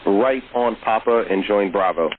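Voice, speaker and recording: A man's voice: lowish voice, moderate pace, phone-quality sound. A man working as an air traffic controller, giving clipped instructions in aviation phraseology over the radio. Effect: radio transmission.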